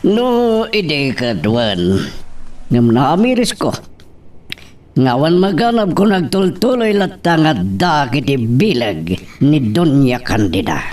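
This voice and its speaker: A man with an Ilocano accent acting a scheming politician father in an audio drama, deliberate and full of pauses. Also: on the high side, sing-song, a little mellow. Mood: scheming, grave. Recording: slightly distant microphone.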